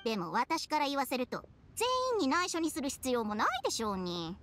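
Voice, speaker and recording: A woman's voice: sits high, very animated, a little fast, fairly bright tone, faint music behind. A woman voicing a bossy, grumbling talking snake companion in a Japanese anime game, blunt and scolding. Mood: complaining, scolding.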